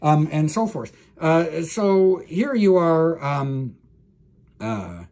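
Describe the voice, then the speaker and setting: A man's voice: on the high side, big pitch swings, drawn-out words, a little mellow. A man lecturing as a professor, explaining technical material informally, thinking aloud with frequent hesitations and self-corrections and speaking directly to the listener.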